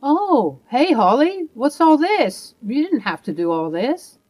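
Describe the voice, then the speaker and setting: A woman's voice: high-pitched, very animated, slow, a little mellow, voice pushed up, heavily acted. A woman voicing a cheating adult husband who stammers and pleads evasively. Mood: surprised.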